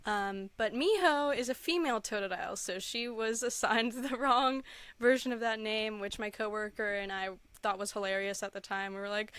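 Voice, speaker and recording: A woman's voice: mid-range voice, fairly even intonation, moderate pace, fairly bright tone, clear, resonant tone, no echo. A young woman with a mid-range voice talks casually as a guest on a chat show. She tells personal stories with gushing enthusiasm. The sound is dry, with very little room to it. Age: young adult. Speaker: podcast guest, content creator.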